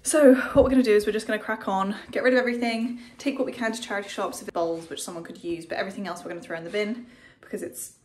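A young woman talks casually into a phone held at arm's length, candid and chatty as she moves through an empty house and out onto a street. Her voice sits mid-pitched for a woman, and clear noise runs under the phone audio. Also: steady tone, fast talker, few pauses, darkish tone. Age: young adult. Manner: chatty, candid, talks while walking around. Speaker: vlogger. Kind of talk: casual vlog narration. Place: empty house indoors, then outdoors on a street.

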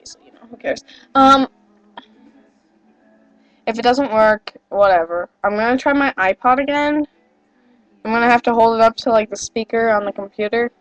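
A woman's voice: on the high side, dry recording, dull audio. Chatty teenage girl with a stuffy cold. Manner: chatty, rambling, self-deprecating, many fillers. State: getting over a cold, nasal. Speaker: vlogger. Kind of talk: rambling casual webcam chat. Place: small wood-paneled bedroom indoors. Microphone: built-in webcam microphone.

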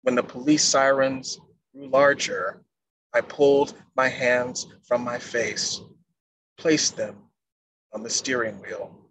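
A middle-aged man giving a measured poetry reading as a poet on a video call. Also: mid-range voice, fairly even intonation. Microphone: wired earphone mic on a video call. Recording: noisy video-call audio.

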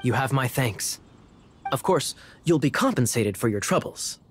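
An actor playing a suave, sly young knight in a video game, speaking in a teasing, measured way. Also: male voice, mid-range voice, expressive, lightly acted. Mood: composed, courteous. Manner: smooth-talking, teasing, measured. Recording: clear background noise.

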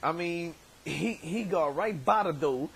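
Hoarse man vlogging from his bedroom. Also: fairly high pitch, unhurried, fairly bright tone. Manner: rambling, many stammered repeats. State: hoarse, voice worn from shouting. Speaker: show host. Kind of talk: casual vlog shout-outs. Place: bedroom, indoors. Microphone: webcam at desk distance.

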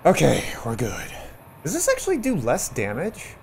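A man's voice: on the high side, huge pitch swings, normal speed, creaky, slight background noise. A man giving casual playthrough commentary on a video game, talking cheekily to the enemies as he plays. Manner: talks to game enemies, mock-taunting, swearing. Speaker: gameplay streamer and mod author.

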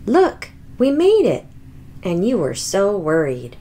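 Female reader as dramatic little storybook dinosaur, anxious yet boastful, swooping expressive pitch. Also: slow, heavily acted. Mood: delighted. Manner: exaggerated, expressive, emphatic. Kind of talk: children's picture book read-aloud.

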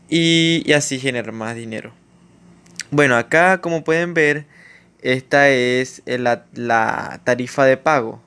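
A Latin American man narrating a screen-recorded tutorial in an informal way, pausing with fillers and restarting his sentences. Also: medium pitch, fairly bright tone, clean tone. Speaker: YouTuber explaining online earnings.

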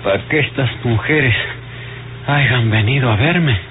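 An actor playing a gloomy, ailing man with a drawn-out, lamenting delivery in old radio serial style. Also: medium pitch, a little hiss or hum, natural voice. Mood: gloomy, resigned. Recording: dull, humming vintage radio recording.

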